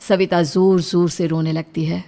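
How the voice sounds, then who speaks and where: A woman's voice: on the low side, flat delivery, a little slow, mellow. A woman narrator delivering a scripted horror story.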